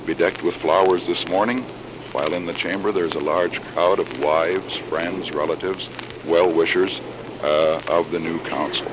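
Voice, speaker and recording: A man reporting live on 1940s radio in a formal, measured announcing manner, with a cold. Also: low voice, steady tone. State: has a cold. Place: council chamber. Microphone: broadcast microphone. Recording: noisy, muffled 1940s radio broadcast recording.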